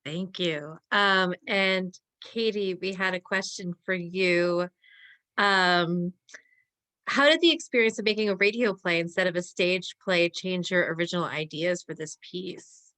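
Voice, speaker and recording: Woman hosting a q&a, crisp bright tone, voice a bit on the low side. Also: measured pace. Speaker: moderator. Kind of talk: hosting a live question-and-answer session.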